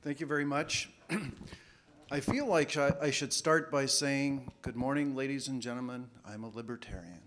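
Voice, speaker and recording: A man addresses a conference audience, casual and a little halting. He often doubles his words. English is not his first language. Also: mid-range voice, fairly bright tone. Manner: casual, repeats words while speaking. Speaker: conference speaker, financial planner. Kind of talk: conference presentation. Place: conference hall.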